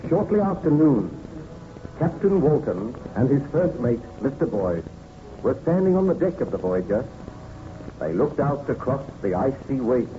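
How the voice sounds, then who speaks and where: A man's voice: medium pitch, big pitch swings, normal speed, long pauses, close to an everyday voice. A man narrating a radio drama in a scripted storytelling style. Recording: tinny narrow-band old radio, faint noise.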